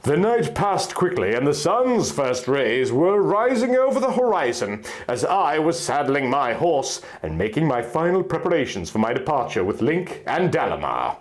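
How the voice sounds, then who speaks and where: A man's voice: fairly high pitch, sing-song, moderate pace, hardly any pauses. A man narrating a piece of fan fiction in a theatrical, exaggerated way. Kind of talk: dramatic reading of fan fiction.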